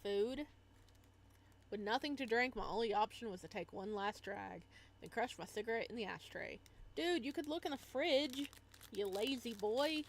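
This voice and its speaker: A woman's voice: a little high, animated, normal speed. A woman playing through a visual novel, reading narration aloud and breaking in with casual, playful, teasing commentary. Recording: noticeable background noise.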